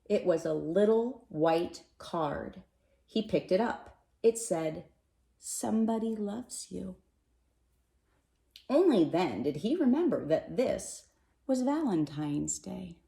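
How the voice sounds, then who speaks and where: A woman reading a children's picture book aloud in an expressive, animated way, with dramatic pauses and emphasis. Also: lowish voice, gravelly. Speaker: storybook reader. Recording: roomy, echoey sound.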